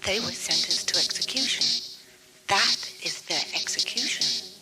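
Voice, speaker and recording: A man's voice: sits high, a little slow, heavy background noise. A man playing a coldly polite, megalomaniac ship's computer in a science fiction audio drama, speaking in a formal, grandiose way. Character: megalomaniac mind-draining ship's computer, calm and menacing. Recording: music under the voice.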